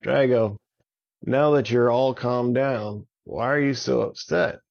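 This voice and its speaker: A man's voice: mid-range voice, takes it slowly, vocal fry, muffled recording, pitched above the performer's own voice. A man voicing a kind, helpful young hero in a children's story, earnest and encouraging. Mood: friendly, curious. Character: kind, helpful young male hero calming his friend.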